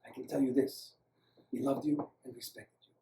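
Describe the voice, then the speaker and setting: A man voicing a gentle, courteous older male doctor with an Eastern European accent in a story, speaking hesitantly. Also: mid-range voice, fairly even intonation, normal speed, close to an everyday voice. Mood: reassuring. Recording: roomy, echoing, distant microphone.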